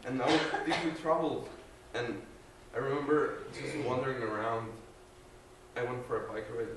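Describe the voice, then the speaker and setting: An earnest young man from Guatemala gives a personal faith testimony to a group, pausing often, restarting sentences and filling gaps with ums. He speaks without a mic, so his voice sounds distant. The room is echoey and has faint ambient noise behind him. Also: mid-range voice, fairly even intonation. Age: young adult. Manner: hesitant, earnest, many fillers and restarts. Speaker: student giving testimony. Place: large room with an audience. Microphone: no mic, distant recorder.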